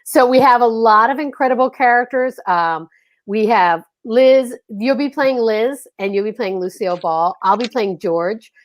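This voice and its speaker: A woman from Philadelphia hosting a casual video call show, excitable and gushing as she talks. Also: fairly high pitch, a little slow. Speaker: host.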